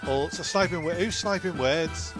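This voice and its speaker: A man's voice: on the high side, normal speed, over music, close to an everyday voice. A man voicing an aggrieved castaway in an audio comedy, muttering and repeating himself.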